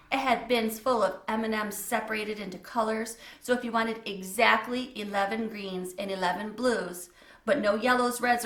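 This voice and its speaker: A woman's voice: mid-range voice, a bit bright, echoey room. A woman reading a children's book aloud as a storyteller, in a scripted narration style.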